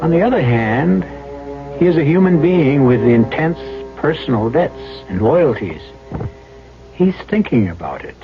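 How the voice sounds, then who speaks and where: A man hosting a radio mystery series, narrating dramatically in a deliberate, grave, rhetorical manner. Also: fairly high pitch, very animated. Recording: dull old radio broadcast. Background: music.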